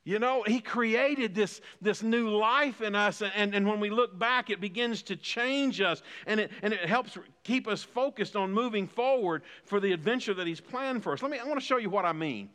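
Bright-voiced fifty-something preacher, hall echo. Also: male voice, sits high, normal speed, hardly any pauses, clear, resonant tone. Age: 50s. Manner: preaching with rising emphatic repetition. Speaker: pastor. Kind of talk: sermon.

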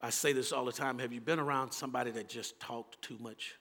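A Texan man preaching a sermon as pastor, moving between loud, fervent calls and quiet, low asides. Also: steady tone, a little fast, long reverb tail.

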